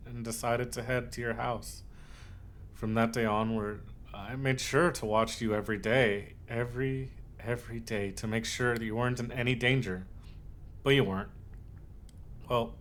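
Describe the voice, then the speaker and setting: A man's voice: mid-range voice, a little hiss or hum, close to an everyday voice. Man playing a tender, obsessive lovestruck yandere. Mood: anxious, possessive. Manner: tender, doting, halting, earnest. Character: obsessive lovestruck male yandere voice actor, soft and possessive. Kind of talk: intimate ASMR roleplay.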